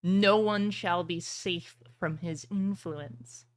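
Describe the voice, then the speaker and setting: Woman voicing a slow, ominous ghost of a dead man. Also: on the low side, steady tone, no room sound. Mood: grave, foreboding. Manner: slow, grave, portentous.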